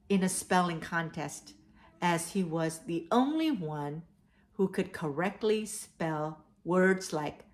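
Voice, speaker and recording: A woman hosting a read-aloud for children, telling stories and giving advice in a deliberate way. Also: on the low side. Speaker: host of a children's read-aloud storytelling show. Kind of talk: storytelling and advice addressed to children.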